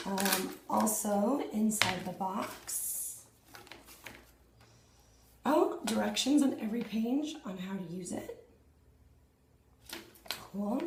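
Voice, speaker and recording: A woman in her thirties, hoarse and husky after losing her voice, chatting excitedly to viewers in a casual unboxing vlog at home. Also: mid-range voice, roomy sound, background noise. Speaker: fitness vlogger. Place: small indoor room at home.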